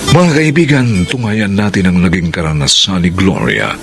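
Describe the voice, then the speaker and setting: A man's voice: low-pitched, animated, moderate pace, gravelly. A man with a Filipino accent introducing a radio drama as narrator in a solemn, theatrical, scripted style. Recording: radio broadcast over loud guitar music.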